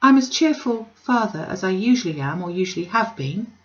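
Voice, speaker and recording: A quiet young woman from a classic novel, as a woman reader plays her, dutiful and reserved. She answers politely and with restraint, on a mildly lo-fi recording. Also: mid-range voice, animated, a little fast, natural voice.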